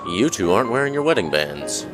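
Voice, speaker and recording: A man's voice: on the low side, sing-song, brisk. A man playing a reserved, observant mystery writer in a radio play, understated and formal. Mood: probing. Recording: music bed under dialogue. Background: music.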